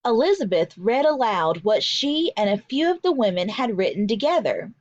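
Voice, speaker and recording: A woman teacher reading a picture book aloud for young children, with deliberate, emphatic storytelling and instructional delivery, in a slightly dull recording. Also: medium pitch, no echo.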